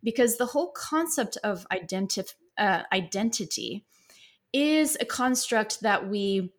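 A woman's voice: medium pitch, animated, unhurried. A woman psychotherapist, a podcast guest, answering at length in an easygoing way, with frequent fillers and light laughs. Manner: expansive, laughs easily, many fillers.

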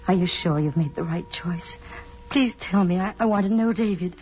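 Anxious, pleading young woman played by an actress, low-voiced. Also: normal speed, lightly acted. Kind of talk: 1950s radio drama acting. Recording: dull old radio recording with music.

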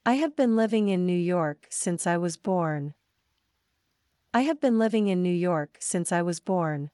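Slow, smooth-voiced woman teacher. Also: lowish voice, expressive, warm tone, no room sound, clean studio sound. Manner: slow, deliberate, each sentence said twice. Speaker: English teacher. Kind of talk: reading example sentences for learners.

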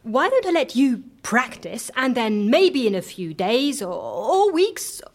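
Long-suffering assistant lady, audio comedy, dry n sarcastic, highish voice, very animated pitch. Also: slow pace, exaggerated voice. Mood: impatient, sceptical. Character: long-suffering, sceptical, dryly practical female assistant. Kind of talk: audio fiction comedy acting.

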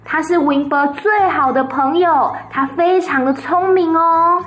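A woman's voice: very high voice, measured pace, pitched above the performer's own voice. A woman voicing a cheerful, chatty little farm girl who talks eagerly and matter-of-factly. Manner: bright, eager, matter-of-fact.

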